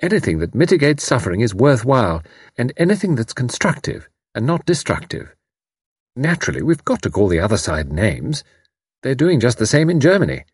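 A man voicing a brisk, kindly young woman who speaks robustly and reassuringly. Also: medium pitch, sing-song, breathy edge, no echo, clean studio sound, pitched above the performer's own voice. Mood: earnest.

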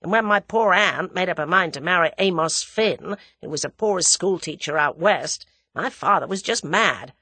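A woman's voice: on the low side, moderate pace, few pauses, bright, forceful tone, husky, no room sound, full character voice. A woman voicing a brash, impatient American man in his thirties, blunt and slangy, in a storybook reading. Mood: explaining.

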